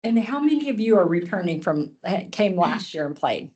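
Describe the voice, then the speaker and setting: A woman's voice: on the low side, big pitch swings, normal speed, a little mellow, husky, highs cut off. A middle-aged woman, a county commissioner, reading a proclamation aloud and chatting informally at a public meeting. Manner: friendly, informal asides with laughs.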